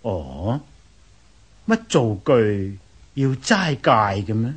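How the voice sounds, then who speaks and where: A man acting a curious, stately ruler of ancient China in a radio drama, husky, with a Hong Kong Cantonese accent and a muffled sound. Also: fairly low pitch, sing-song, extremely slow, full character voice. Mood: curious, regal.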